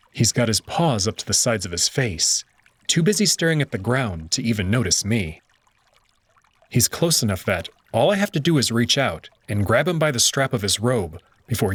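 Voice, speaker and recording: Male narrator for a game reading; measured, tense delivery, husky tone. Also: mid-range voice. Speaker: visual novel narrator. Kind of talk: first-person story narration.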